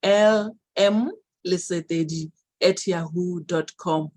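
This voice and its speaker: A woman from Botswana with a Botswana accent, a podcast guest giving measured, earnest answers and repeating words for emphasis. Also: lowish voice, darkish tone. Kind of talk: interview answers.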